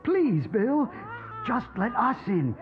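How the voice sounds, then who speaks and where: A frightened, desperate American dad in a radio play. The voice is high and swooping, urgent and imploring, in slightly dull sound over music. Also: male voice, huge pitch swings, slow, exaggerated voice. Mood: pleading. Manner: urgent, imploring, breaking into bitterness. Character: frightened, pleading young father locked out by his friend. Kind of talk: dramatic radio acting.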